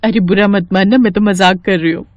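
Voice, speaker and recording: A woman's voice: mid-range voice, steady tone, brisk, no room sound, highs cut off, close to an everyday voice. A woman voicing a good-natured, joking young new bride, chatty and obliging, in a story.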